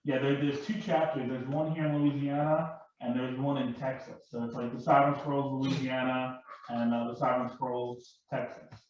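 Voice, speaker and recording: A man in a casual panel discussion, speaking haltingly and often repeating his words. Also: medium pitch, level tone, darkish tone. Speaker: panel guest and larper. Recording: very echoey, distant, dull, noisy microphone.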